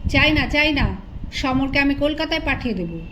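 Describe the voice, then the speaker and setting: A woman's voice: high-pitched, lively intonation, normal speed. A woman narrator voicing a jealous, fiery, foul-mouthed queen who is defiant and insistent in an audio story. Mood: stubborn refusal. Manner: defiant, sharp-tongued, insistent. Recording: dull lo-fi recording with loud noise.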